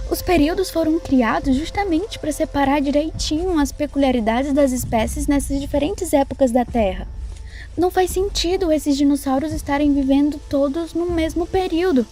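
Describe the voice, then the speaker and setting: A woman's voice: sits high, moderate pace, mellow, soft background music, close to an everyday voice. A woman playing an earnest young biologist in an audio drama, explaining things somewhat hesitantly. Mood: incredulous.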